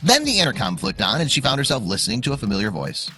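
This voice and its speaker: A man's voice: mid-range voice, wildly swooping pitch, fast talker, sharp tone. A man narrating a scripted dramatic story in a suspenseful, emphatic way. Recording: music under the voice. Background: background music.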